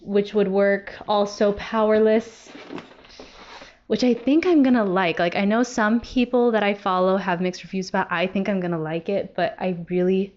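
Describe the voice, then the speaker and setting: A woman's voice: mid-range voice, moderate pace, darkish tone, muffled recording. A young adult woman talking casually to viewers as a book vlogger. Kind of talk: casual chat to camera.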